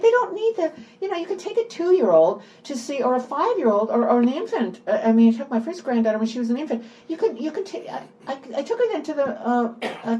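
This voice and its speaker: Animated older woman, high-pitched and informal. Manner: animated, informal, digressive, repeats and emphasizes words. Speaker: author at a book event. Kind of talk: unscripted conversational book talk.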